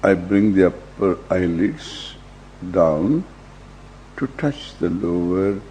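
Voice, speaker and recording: An older man with an Indian accent guiding a meditation as a teacher, in measured short phrases with long pauses. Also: sits low, lively intonation, dark tone. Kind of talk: guided meditation, slow instruction. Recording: dull lo-fi recording with faint hum.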